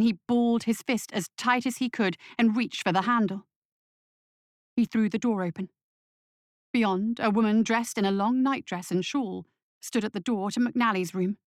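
A woman reading scripted horror fiction as story narrator in a measured, dramatic manner. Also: medium pitch, dry recording, studio quality.

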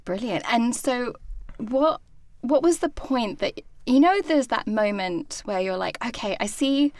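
A woman's voice: fairly high pitch, animated, measured pace, a little mellow, dry recording. A woman hosting a podcast interview, asking chatty questions with frequent fillers. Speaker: podcast host.

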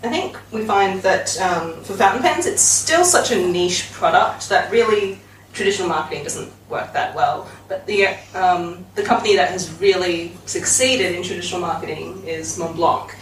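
A woman's voice: on the low side, moderate pace. A woman with an Australian accent, co-hosting a podcast and summing up thoughtfully, with plenty of ums. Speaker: podcast host. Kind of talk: casual chat. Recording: echoey, distant mic with faint hiss.

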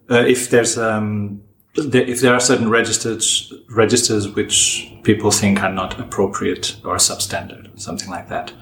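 Guest linguist, male, measured and deliberate, roomy sound. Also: fairly low pitch, slight background noise. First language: Portuguese. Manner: measured, thoughtful, frequent restarts. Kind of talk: podcast discussion. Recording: roomy echo.

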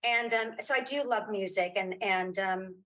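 Mature woman, interview guest, chatty, self-mocking, casual conversational delivery, mid-pitched voice. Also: moderate pace, bright, forceful tone. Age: middle-aged or older. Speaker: interview guest, audio drama creator and former actress.

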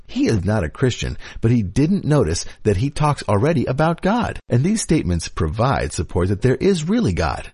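A man's voice: lowish voice, darkish tone, slightly rough, dry recording, studio quality. A man reading internet forum posts aloud in a declamatory, theatrical way. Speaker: podcast reader.

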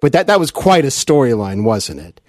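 A man hosting an interview podcast, speaking haltingly and in an effusively appreciative, conversational way. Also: mid-range voice, very animated, loud music behind the voice.

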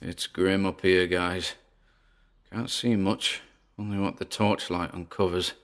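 A man acts out a frightened paranormal investigator in an audio drama, recording himself as he explores. His voice is low with a gravelly creak, and he describes his surroundings warily. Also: level tone, a little slow. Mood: wary, hushed. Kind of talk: audio drama acting, found-recording monologue.

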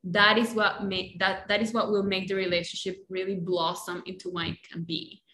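A woman with a Colombian Spanish accent, Spanish her first language, talks as a podcast guest. Her voice is bright and clear, pitched around the middle for a woman. She speaks reflectively, tagging her thoughts with "right?" and "you know.". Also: level tone, normal speed. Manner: warm reflective talk with many "right?" and "you know" tags. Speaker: guest, life coach. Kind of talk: casual podcast interview.

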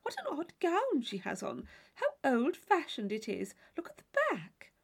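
A woman voicing a placid, fussy married gentlewoman in an audiobook, chattering in genteel exclamations. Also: high voice, huge pitch swings, a little slow, gravelly, slightly rough, pitched above the performer's own voice, heavily acted.

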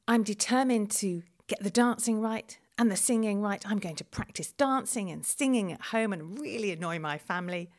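A woman's voice: mid-range voice, a little mellow. A middle-aged woman from England telling a chatty, self-deprecating diary-style account of her experiences. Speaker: vlog presenter. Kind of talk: first-person diary narration.

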